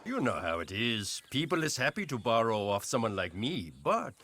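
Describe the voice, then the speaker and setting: A man's voice: mid-range voice, big pitch swings, normal speed, a bit bright. A man playing a prim, cold German moneylender of the Old West in a video game, precise and dry. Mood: dry, businesslike. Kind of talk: cinematic video game acting.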